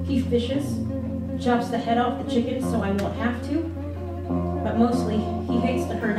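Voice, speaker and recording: A woman giving a dramatic first-person reading of a story to an audience as a live performance. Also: a little high. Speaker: reader performing a novel. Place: live performance in an arts venue. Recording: very echoey hall, far from mic, loud guitar. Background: loud plucked guitar music.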